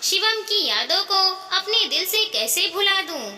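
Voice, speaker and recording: Woman narrator as a high, hesitant young widow. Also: lively intonation, measured pace, pushed and bright, full character voice. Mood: worried, hesitant. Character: dutiful young widowed daughter-in-law, hesitant and tender. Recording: echoey, reverberant hall-like sound.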